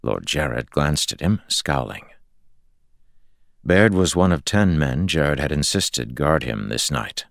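A man's voice: very deep voice, animated, slow pace, creaky, a bit bright, no echo, clean studio sound. A man reading fantasy fiction as an audiobook narrator, in a scripted storytelling style.

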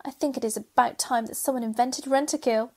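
A woman playing a bright, bossy good spirit in a radio pantomime, speaking in cheeky rhyme to the audience. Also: a little high, fairly even intonation, fairly quick. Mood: cheery, commanding. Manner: cheeky, rhyming, addressing the audience. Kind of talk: pantomime radio play narration and acting.

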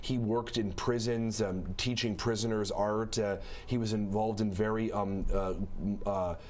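A man in his thirties from the Midwest, a comedian guest chatting on a TV studio set, animated and full of fillers. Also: on the low side, unhurried, a little hiss or hum. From American Midwest. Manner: chatty and animated, many fillers and restarts, laughs while telling stories. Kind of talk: talk show interview.

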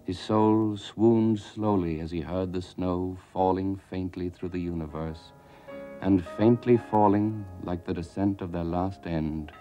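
A man with a deep, dark voice reads literary prose slowly and solemnly. His delivery stays hushed throughout. Faint music plays underneath. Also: steady tone. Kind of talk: slow literary narration from a film.

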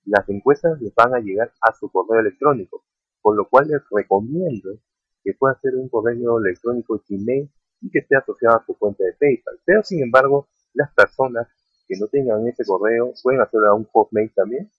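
A man's voice: mid-range voice, moderate pace, husky, no room sound. A man walking viewers through a website in a screen-recorded tutorial, often checking in with "ok?" and calling them friends. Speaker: online earning vlogger. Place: at a computer, voice-over on screen capture. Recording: dry tinny phone-like sound.

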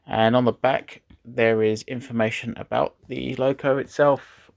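Gravelly non-native English-speaking man. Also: medium pitch, steady tone, a little slow, no echo. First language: not English. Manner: chatty, rambling, self-correcting. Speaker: model train reviewer. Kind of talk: casual unboxing narration.

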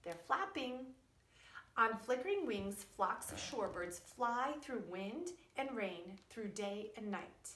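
A middle-aged woman, a preschool teacher, explaining and reading aloud to young children in a deliberate, emphatic, teacherly way. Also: fairly high pitch, lively intonation, fairly bright tone. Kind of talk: children's science lesson and read-aloud story. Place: indoors in a wood-panelled room at home or school. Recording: echoey room.

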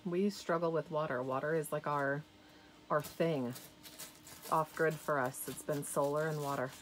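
A woman's voice: deep voice, fairly even intonation, moderate pace, slight background noise, poor audio. A woman with an American accent, hosting a casual home vlog in a chatty, upbeat way, recorded on the phone she is holding. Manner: chatty, upbeat, laughs often. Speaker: vlog host filming. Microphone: phone camera she is holding.

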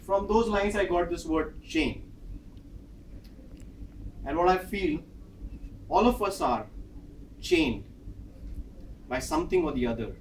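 A man in his thirties with an Indian English accent, a Hindi speaker, giving an animated prepared speech and acting out dialogue while pacing a large office training room. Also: a little high, slow, long pauses, a little hiss or hum. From northern India. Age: 30s. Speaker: speaker at a club meeting. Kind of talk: prepared personal speech with storytelling. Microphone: far camera. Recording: echoey room, far from the mic.

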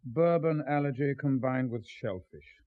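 A man's voice: mid-range voice, a little slow, no room sound, phone-quality sound, close to an everyday voice. A man playing a troubled, evasive British husband in a 1970s radio drama, with a hesitant, clipped delivery. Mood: subdued. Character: troubled, evasive middle-class husband, shaken and unwell.

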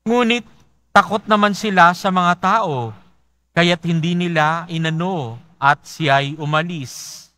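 Man, Filipino accent, priest, church reading, fairly high voice, bright tone. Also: steady tone, dry recording. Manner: slow, measured liturgical reading. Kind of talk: reading the Gospel and preaching at Mass. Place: large church.

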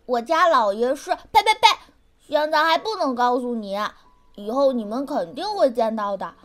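A woman's voice: high voice, animated, normal speed. A woman voicing a young, devoted, chirpy servant in a costume radio drama, earnest and deferential. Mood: bright, teasing.

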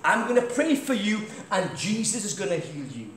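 Middle-aged British male preacher, loud and storytelling, high voice, faint background noise. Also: very animated, normal speed. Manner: loud crusade-style preaching, walks about. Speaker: guest preacher. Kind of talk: sermon with anecdotes. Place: large church hall. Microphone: far camera, no mic. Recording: echoey church hall, faint noise.

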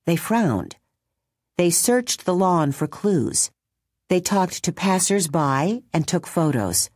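Woman audiobook narrator, low pitch, husky, lively lilt, slow, dry close sound. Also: dry recording. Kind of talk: scripted narration.

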